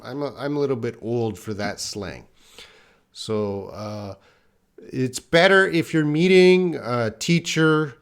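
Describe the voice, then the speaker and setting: Middle-aged man, English teacher, live class, unhurried delivery, mid-range pitch, plain-spoken, replies to student comments. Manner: plain-spoken, addresses learners by name, repeats phrases for emphasis.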